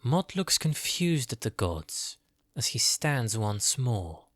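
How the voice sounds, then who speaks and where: A man's voice: medium pitch, very animated, takes it slowly, fairly bright tone, no echo, clean studio sound. A man narrating a scripted fantasy story.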